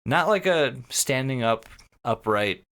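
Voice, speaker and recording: A man co-hosting a podcast, talking casually and describing things in an animated way with casual swearing. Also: mid-range voice, measured pace. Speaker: podcast co-host.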